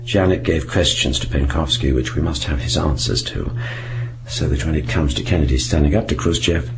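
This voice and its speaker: An actor playing an insistent senior British spymaster in a radio drama, grave and urgent, speaking with emphatic authority and measured pauses. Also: male voice, very deep voice.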